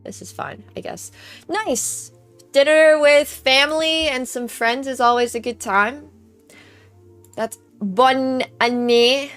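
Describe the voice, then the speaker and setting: A young woman, a native Chinese speaker, hosts a casual live stream in a slightly high voice. She chats easily, thanks viewers by name, and tries out phrases in many languages while soft music plays in the background. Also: takes it slowly, smooth. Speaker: livestream host. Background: faint background music.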